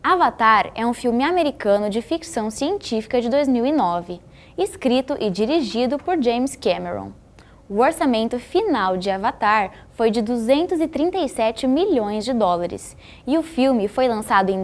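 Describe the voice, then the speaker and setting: A woman with a Brazilian accent reads scripted documentary-style narration in a measured, informative way. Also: fairly high pitch, big pitch swings.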